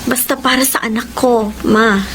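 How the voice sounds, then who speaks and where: A woman's voice: fairly high pitch, fairly even intonation, moderate pace, lightly acted. A woman playing a long-suffering, devoted wife and mother in a Filipino radio melodrama, earnest and plaintive, with a Filipino accent. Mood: pleading, resigned. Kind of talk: Filipino radio melodrama acting and confessional narration.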